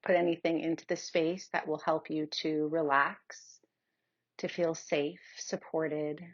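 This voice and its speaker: A woman leading a guided yoga nidra meditation in a soothing, unhurried manner with long pauses. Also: sits low, level tone, dull audio.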